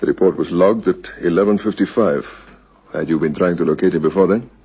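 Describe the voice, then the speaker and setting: Radio-drama police captain: deep, brisk, husky, formally probing. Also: male voice. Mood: businesslike, probing. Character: calm, relentless male police captain detective. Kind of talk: old radio crime drama acting. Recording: muffled vintage radio recording.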